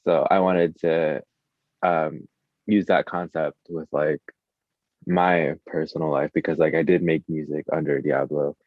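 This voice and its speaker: A man's voice: rumbling low, little pitch movement, a little slow, no room sound, narrow, tinny audio. A man chatting casually as an interview guest, a musician with an informal, slangy, hesitant way of talking, saying "like" constantly. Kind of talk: casual chat.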